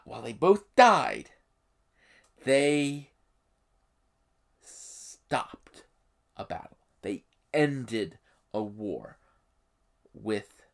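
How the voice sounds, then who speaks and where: A YouTube host giving explanatory commentary on a game setting, speaking emphatically and haltingly, with pauses. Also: mid-range voice.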